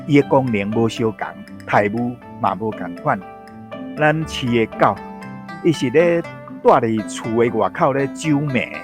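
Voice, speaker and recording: A man explains things in a folksy, conversational way as a culture expert. He has a mid-pitched voice and a Taiwanese accent, dropping in frequent interjections, with music playing clearly behind him.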